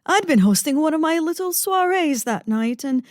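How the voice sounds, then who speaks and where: A rich dramatic widow in a radio noir, high voice, swoopy and swoony, pretty dry sound. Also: female voice, lively intonation, moderate pace, no room sound. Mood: distraught. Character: wealthy melodramatic widow, sultry and self-pitying. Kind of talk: parody of old-time radio detective drama.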